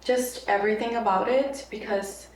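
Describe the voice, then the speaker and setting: A woman's voice: mid-range voice, steady tone, moderate pace. A young woman from Mexico whose first language is Spanish talks as a podcast guest in a loose, rambling way full of fillers. Her voice sits back from the microphone in an echoey room. A faint hiss runs underneath. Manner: chatty, rambling with many fillers and restarts. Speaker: podcast guest, content creator. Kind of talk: casual interview conversation. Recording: echoey room, mic at a distance, faint noise.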